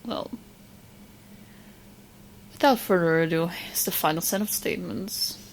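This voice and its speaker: A woman playing a sweet, clingy, lovestruck young witch in a soft, intimate ASMR roleplay, speaking in hesitant, doting phrases. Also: on the low side, a little slow, slight background noise, low-quality recording. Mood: nervous, playful.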